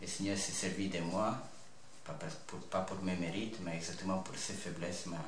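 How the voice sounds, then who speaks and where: A man of twenty-nine from north-east Italy with an Italian accent, giving an earnest personal testimony in halting phrases. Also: deep voice, steady tone. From north-east Italy (Friuli). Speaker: interview guest, seminarian about to be ordained priest. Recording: echoey room with faint background noise.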